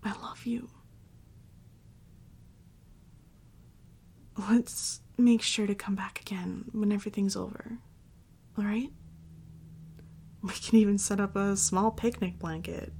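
A woman playing an affectionate, clingy girlfriend in an intimate roleplay audio, speaking tenderly and haltingly and often slipping into a whisper. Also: mid-range voice, steady tone, a little mellow, a little hiss or hum. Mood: tender, soothing. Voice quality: breathy, often dropping into a whisper. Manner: tender, slow, halting, soothing endearments. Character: affectionate young girlfriend, doting and clingy, tearful before parting. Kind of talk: intimate roleplay audio, soft ASMR-like acting.

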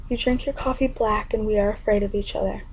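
Young woman whispering her poems, kinda gravelly, flat even delivery. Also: mid-range voice, fast talker, poor audio. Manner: intimate, often whispered delivery. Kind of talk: spoken word poetry performance.

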